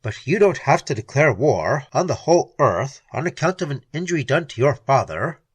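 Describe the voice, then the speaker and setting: A reader playing Keene, a soft-spoken pleading man. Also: mid-range voice, very animated, normal speed, breathy edge, dry recording, voice pushed down. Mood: sad. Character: earnest man pleading, gentle and sad. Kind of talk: audiobook dialogue.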